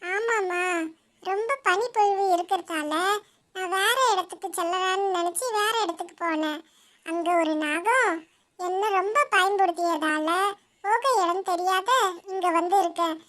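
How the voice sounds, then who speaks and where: Cartoon crow for a kids' tale female performer: tiny, very high voice, courteous and meek. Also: measured pace, fairly bright tone. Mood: pleading. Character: polite, humble, freeloading crow in a children's story. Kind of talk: children's animal story character voice.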